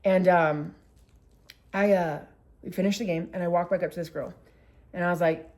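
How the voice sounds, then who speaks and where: A woman's voice: on the low side, fairly even intonation, normal speed, darkish tone. Candid young woman telling a personal story. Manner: candid, emotional, heavy use of filler words. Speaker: vlogger. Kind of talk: casual storytelling vlog.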